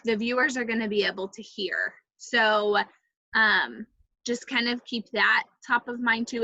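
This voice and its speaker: A woman's voice: a little high, sharp tone, muffled recording. A woman casually chatting and giving instructions as co-host of a virtual group event.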